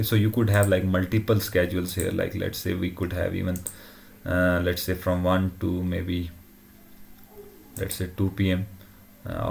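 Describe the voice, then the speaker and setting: A man presenting an informal technical demo in a halting way, often checking in with short tag questions. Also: low-pitched, gravelly, slight background noise. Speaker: tutorial presenter.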